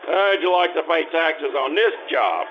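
Man acting cocky, higher-voiced small-time crook. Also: very animated, measured pace, muffled recording, a little hiss or hum. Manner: brash, needling, slangy tough-guy talk. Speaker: amateur radio troupe actor. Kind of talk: old-time radio drama acting.

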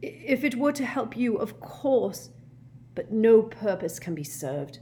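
A woman voicing a tearful, tipsy, frightened old male professor in a storybook, halting and genteel. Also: a little high, big pitch swings, dark tone, pitched above the performer's own voice.

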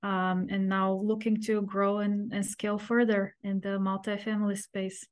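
A middle-aged woman from Bulgaria, a podcast guest answering questions in an articulate, measured way with frequent pauses. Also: medium pitch, level tone. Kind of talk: interview answers.

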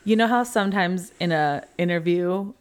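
A woman hosting a faith-based friends' podcast, chatting casually, bubbly and quick to laugh. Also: lowish voice, smooth. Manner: bubbly, laughs a lot, animated storytelling. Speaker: podcast host. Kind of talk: casual chat.

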